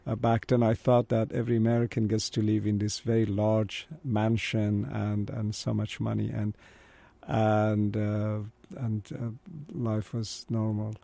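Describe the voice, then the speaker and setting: A middle-aged man from Iran whose first language is Kurdish speaks as an interview guest. His low voice has a gravelly fry and a dark, mellow tone. He talks in a thoughtful, halting way, pausing to find his words. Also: level tone, no echo. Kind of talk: conversational interview.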